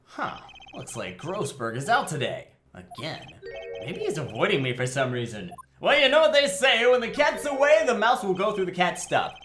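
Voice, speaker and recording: A man acts out a goofy, sarcastic young male lawyer in a video game. His delivery is very animated and wisecracking, slipping into muttered asides, recorded in a roomy space with music underneath. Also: sits high, moderate pace. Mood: sneaky, playful. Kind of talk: fan-dub visual novel character acting.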